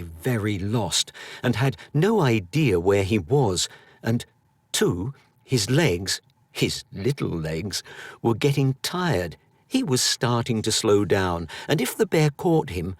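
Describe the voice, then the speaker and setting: A man narrating a children's story expressively, with dramatic, drawn-out emphasis. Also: on the low side, no room sound. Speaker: audiobook narrator.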